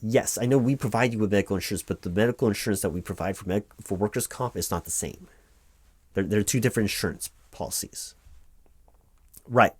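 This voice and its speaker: A man doing a spoken ASMR office roleplay as a claims administrator, reading forms aloud and explaining them in a halting, stammering, reassuringly repetitive way. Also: on the low side, breathy edge, no room sound.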